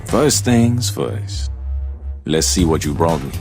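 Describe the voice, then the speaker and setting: An actor playing a suave, cunning mutant thief boss in a video game cutscene, smooth and cryptic. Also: male voice, lively intonation, over music, full character voice. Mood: sly. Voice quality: smooth, low and measured. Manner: cryptic, unhurried, secretive.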